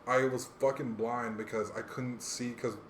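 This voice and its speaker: American man, average pitch, casual podcast chat, rambling, slangy, sweary, roomy echo. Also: fairly even intonation, moderate pace. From Hawaii, United States. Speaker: podcast co-host. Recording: roomy echo, faint background noise.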